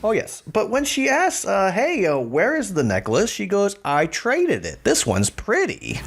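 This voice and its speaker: A man's voice: sits high, huge pitch swings, slow pace, gravelly. A man in his thirties co-hosting a casual podcast, retelling scenes with lots of fillers and frequent laughter. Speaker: podcast co-host. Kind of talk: casual chat.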